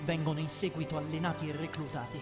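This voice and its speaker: A man's voice: on the high side, flat delivery, quick pace, natural voice. A man voicing a friendly, determined young soccer coach in an Italian anime fan dub, upbeat and direct. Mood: explaining. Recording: long reverb, music behind.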